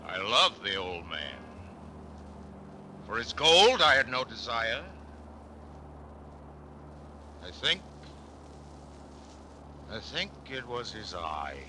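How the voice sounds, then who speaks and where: An actor playing a nervous, cunning, mad murderer confessing in a theatrical horror monologue from a classic radio play, swinging between loud declaiming and hushed whispers. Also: male voice, exaggerated voice. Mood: feverish, insistent. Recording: noisy old radio recording, vehicle rumble. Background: vehicle noise from a driving game.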